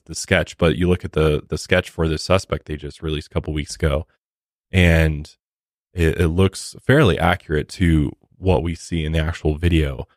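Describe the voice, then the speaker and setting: A man's voice: low-pitched, no echo, studio quality. A man co-hosting a true crime podcast, recounting case details in a casual, conversational way with blunt asides.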